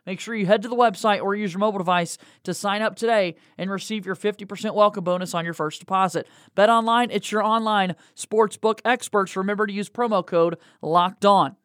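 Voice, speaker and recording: A man's voice: high voice, steady tone, fairly quick, hardly any pauses, a bit bright, no echo, clean studio sound. A man hosting a sports podcast, moving between introductions, sponsor reads and interview questions. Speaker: podcast host.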